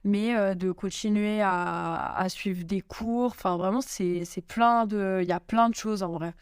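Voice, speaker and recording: A young woman speaks in a smooth, clear voice, answering questions casually and thinking aloud. She hesitates often and restarts, filling gaps with small sounds. The sound is dry and close. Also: medium pitch, fairly even intonation, a little slow, no room sound. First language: French. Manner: hesitant, many fillers and restarts. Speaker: guest. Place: home in Italy.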